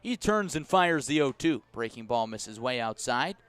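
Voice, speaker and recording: An American man doing live radio baseball play-by-play, measured in description and lifting his voice for the action. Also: medium pitch, clean tone. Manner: measured description rising to excited calls. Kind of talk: live radio sports commentary.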